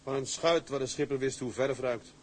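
An actor playing a steady, outspoken first mate of a seagoing tug, with a Dutch accent and a practical, matter-of-fact manner. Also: male voice, medium pitch, normal speed, a little hiss or hum, natural voice. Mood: sober, reporting. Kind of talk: film drama acting.

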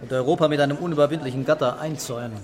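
A man's voice: fairly high pitch, racing, a crowd in the background, natural voice. A man acting in a radio drama as a laconic, laid-back French police commissioner. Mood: laconic, puzzled.

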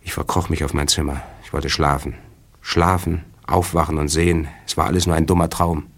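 An actor playing detectives and frightened men in old radio crime dramas, unstrained delivery. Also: male voice, very deep voice, little pitch movement, brisk. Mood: tense. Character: adult male characters, from a frightened coward to a hard-boiled detective.

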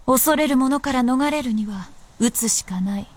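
An actress playing a proud, world-weary princess of feudal Japan in a radio drama, speaking in a lordly, knowing, archaic way. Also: female voice, high voice. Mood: grave, wistful. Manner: lordly, archaic masculine phrasing, measured and knowing. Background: music.

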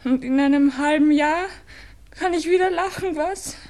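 An actress playing a heartbroken, bitter young woman in a radio drama, halting and intense in her reproachful grief. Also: sits high, a little mellow, lightly acted. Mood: bitter, desperate.

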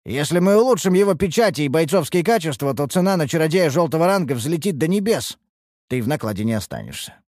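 A man's voice: a little high, expressive, fast, darkish tone, no room sound, studio quality, voice pushed up, close to an everyday voice. A man voicing a sardonic, bossy mage master with a mocking, persuasive manner in a fantasy audiobook. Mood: teasing, persuasive.

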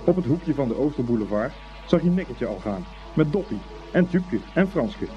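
A man narrating a scripted story for a Dutch radio play in an expressive, unhurried storytelling manner. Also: mid-range voice. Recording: dull old radio recording, faint music.

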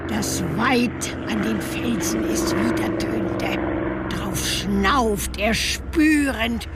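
An actress playing a storyteller who recites a rhymed folk legend in a radio drama, measured, expressive and theatrical. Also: female voice, medium pitch, very noisy, full character voice. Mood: dramatic, suspenseful.

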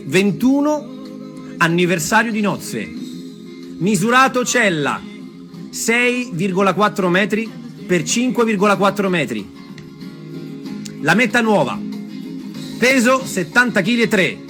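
A man telling stories and reading texts aloud as a theatre performer, expressive and deliberate in his delivery. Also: high voice. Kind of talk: storytelling with dramatic readings. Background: music.

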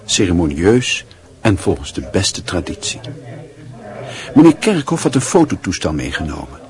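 An actor playing a dry, wry literary narrator in a radio play, with a husky voice. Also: male voice, lowish voice, darkish tone.

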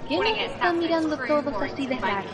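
A woman's voice: sits high, very animated, fairly quick, a crowd in the background. A woman playing a young, patient but exasperated head of a school house in an amateur radio play. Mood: uneasy. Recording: clearly noisy home recording.

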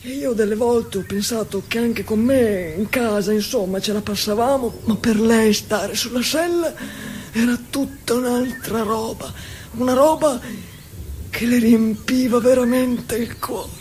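An actor playing a simple, tender, troubled asylum-dwelling husband in a halting, repetitive theatrical monologue. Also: male voice, very high-pitched. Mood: tender, proud. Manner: halting, repetitive, swinging from hushed to loud. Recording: clearly noisy ambient background.

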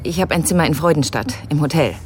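An actress playing an absorbed, flustered researcher in a naturalistic radio drama, speaking hesitantly. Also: female voice, low voice, fast, a little hiss or hum, close to an everyday voice. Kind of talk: naturalistic radio drama, partly reading aloud.

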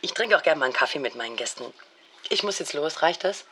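A woman's voice: sits low, lively intonation, quick pace, pushed and bright, slight background noise. A woman playing a chatty but discreet hotel chambermaid in an audio play. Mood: brisk, obliging.

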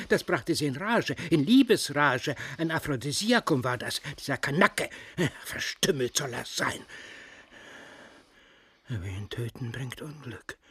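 A bitter, jealous older man in a radio drama. His husky voice is bone-dry and highly animated. He is obsessive and volatile, brooding over his grievance. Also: no room sound, exaggerated voice. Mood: hushed, dark. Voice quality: husky, raspy. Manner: brooding, volatile, from hushed to outburst. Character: bitter, tormented jealous husband, aging and obsessive. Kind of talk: German radio drama acting.

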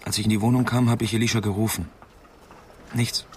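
Troubled young medical student, male actor, radio crime drama: lowish, halting, confessional, slight background noise. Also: level tone, natural voice. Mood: subdued, guilty. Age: young adult.

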